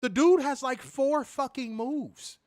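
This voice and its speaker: A man's voice: very high voice, slow pace, darkish tone, dry recording, clean studio sound. A man co-hosting a wrestling review, talking in a casual, profane and mocking way and laughing often. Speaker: co-host of a wrestling review show.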